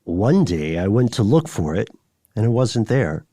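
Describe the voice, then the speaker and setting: Male podcast host, slightly low voice, deliberate conversational phrasing, dry studio-like sound. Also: very animated, warm tone, no room sound. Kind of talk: conversational podcast hosting and reading listener letters.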